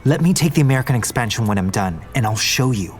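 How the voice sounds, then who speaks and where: A man's voice: mid-range voice, animated, fast, close to an everyday voice. An actor playing an idealistic young male business heir in an audio drama, earnest and conversational. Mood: determined. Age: young adult.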